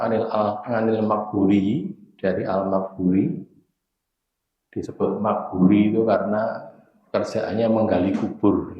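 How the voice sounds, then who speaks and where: A hoarse man giving a religious study lesson, explaining narrator chains in a measured, teacherly way. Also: on the low side. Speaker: hadith teacher. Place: mosque study room. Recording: echoey room, dull lo-fi sound.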